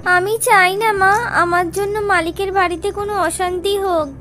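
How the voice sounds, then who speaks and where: A meek young village girl from a cartoon fairy tale, voiced by a woman, dutiful and polite. Her voice is extremely high and gentle, over soft music. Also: steady tone, normal speed. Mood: tender.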